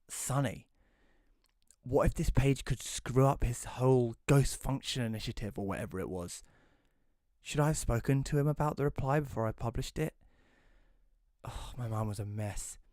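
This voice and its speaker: A man playing a hesitant magazine writer who tells his own story in an audio drama, in a conversational, slightly halting way. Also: mid-range voice, darkish tone, no echo, clean studio sound, natural voice. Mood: reflective. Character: Rory, male magazine writer, hesitant, self-doubting narrator. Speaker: narrator and lead character. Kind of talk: first-person audio drama narration with dialogue.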